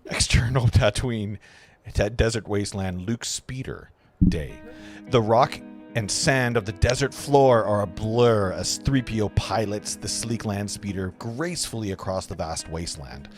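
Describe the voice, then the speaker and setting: A man's voice: fairly low pitch, measured pace, faint music behind. A man narrating stage directions at an amateur table read, sometimes stumbling over the words.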